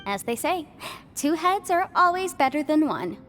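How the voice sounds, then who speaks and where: A woman playing an analytical, polite young investigator in a video game, measured, articulate and thoughtful. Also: high voice, very animated, fairly bright tone, faint music behind. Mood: friendly.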